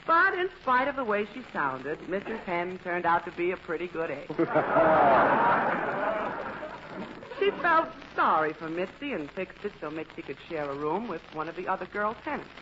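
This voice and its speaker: Animated woman narrator, old radio comedy. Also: fairly low pitch, unhurried. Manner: wry, playful storytelling. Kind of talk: scripted comic radio narration. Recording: muffled, noisy old radio recording.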